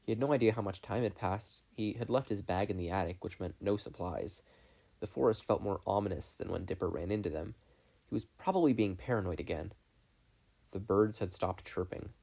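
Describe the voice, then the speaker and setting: A man's voice: low-pitched, a little fast, gravelly, mellow. A man reading a story aloud in scripted narration. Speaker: podfic reader.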